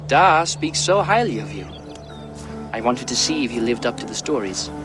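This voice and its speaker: An actor playing a defiant, cocky seventeen-year-old Viking prince in a video game, brash and sarcastic. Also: male voice, medium pitch, sing-song, moderate pace, over music, natural voice. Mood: conceding. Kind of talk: video game cutscene acting.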